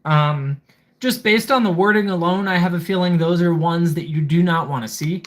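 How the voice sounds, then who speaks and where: A livestream host with a mid-pitched voice. The delivery is casual but methodical, listing each item and then adding a short remark, as in a hands-on product walkthrough. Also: normal speed. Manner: methodical, reading items aloud then commenting. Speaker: livestream host reviewing gadgets.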